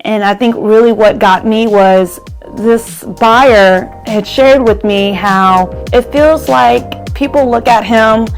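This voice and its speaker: A woman from the United States speaking in an earnest, measured way, giving a personal testimonial as a real estate and mortgage professional. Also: on the high side, soft background music. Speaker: real estate agent and mortgage loan originator.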